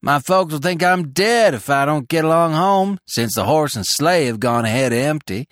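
A man's voice: a little high, animated, moderate pace, fairly bright tone, dry recording, clean studio sound, voice pushed up. A man voicing a cocky, teasing young country giant with rustic old-fashioned talk, in a storybook. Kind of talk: storybook character voice.

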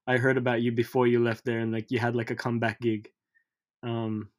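A man in his thirties, a guest musician whose first language is Tagalog, talking in a relaxed, hesitant way with many pauses. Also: mid-range voice. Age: 30s. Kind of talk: casual interview chat.

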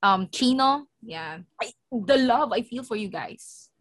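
A woman's voice: a little high, lively intonation, unhurried, a bit bright, dry recording. A woman co-hosting a casual podcast, speaking frankly, laughing often and restarting her sentences. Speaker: podcast co-host. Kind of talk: casual chat. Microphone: video call.

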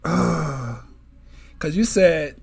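A man in casual chat, lively and quick to laugh and hype the others up. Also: on the high side, vocal fry, breathy edge.

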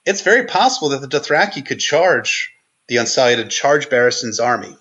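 A man giving conversational analysis of a story as a podcast guest, earnest and often halting as he thinks aloud. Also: mid-range voice, big pitch swings, a bit bright, slightly rough, dull audio. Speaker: guest co-host on a book podcast.

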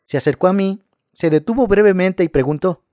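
A man reading scary first-person stories aloud in a calm, measured narration. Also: sits high, no echo.

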